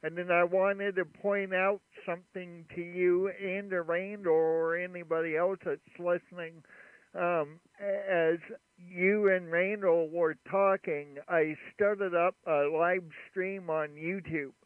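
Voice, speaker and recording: A man running a ham radio chat and livestream, talking in a relaxed, rambling way with many fillers. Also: high-pitched, takes it slowly, clean tone, no echo. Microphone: studio mic at his station. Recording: radio audio, narrow band.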